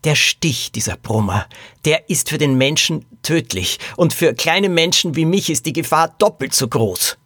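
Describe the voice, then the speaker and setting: A man's voice: fairly high pitch, animated, moderate pace, fairly bright tone, husky, no echo, studio quality. A man voicing a small injured male jockey in a children's detective story, panicked, pleading and breathless. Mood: panicked, pained. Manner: breathless, gasping, agitated.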